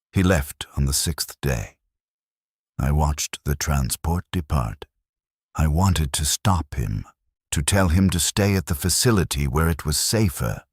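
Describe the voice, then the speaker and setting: A man narrating a scripted first-person war story in a grave, measured way. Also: extremely low pitch, slightly rough, no room sound, clean studio sound.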